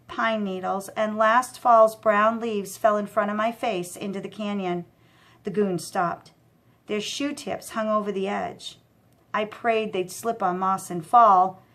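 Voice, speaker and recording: A woman's voice: medium pitch, fairly bright tone. Woman reading a children's adventure aloud. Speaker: storyteller. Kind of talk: expressive read-aloud narration of children's novel.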